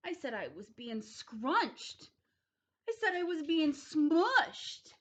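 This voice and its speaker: A woman's voice: sits high, sing-song, slow pace. A woman reading a children's story aloud expressively, leaning dramatically on key words. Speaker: storybook reader.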